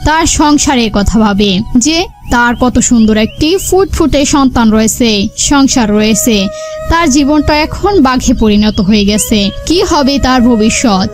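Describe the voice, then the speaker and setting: A woman's voice: high voice, normal speed, faint music behind. A woman reading scripted narration for a cartoon folk story.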